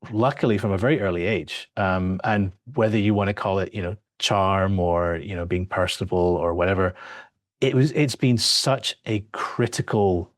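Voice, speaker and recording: A man's voice: low-pitched, moderate pace, no room sound, clean studio sound. A man in his thirties, a filmmaker guest, talking reflectively in a podcast interview, with frequent restarts and "you know" fillers. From born in Australia, raised in several countries.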